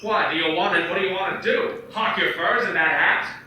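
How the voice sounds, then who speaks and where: An actor playing a kind, earnest small-town banker in an amateur radio play. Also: male voice, sits high, a little fast, pushed and bright, a little hiss or hum. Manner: teasing and friendly, then frantic. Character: earnest young man, kind banker, panics easily. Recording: echoey room from a distance.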